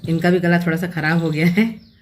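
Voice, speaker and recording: A woman's voice: lowish voice, steady tone, brisk, slight background noise. Chatty woman vlogging at home. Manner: talkative, explains at length, rambling asides. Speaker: family vlogger. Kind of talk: casual chatty vlog.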